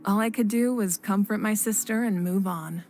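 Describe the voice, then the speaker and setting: A woman plays a noble young warrior queen from a video game, composed and kindly. Her medium-pitched voice is measured, gentle and dignified, with faint music underneath. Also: close to an everyday voice. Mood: fond, reflective. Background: faint background music.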